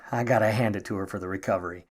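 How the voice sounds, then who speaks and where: An actor playing a wry, wisecracking private eye in a 1950s noir radio play, narrating and trading slangy lines. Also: male voice, on the low side, steady tone, quick pace, breathy edge. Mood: wry, brooding. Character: wry, grudging hardboiled male private eye.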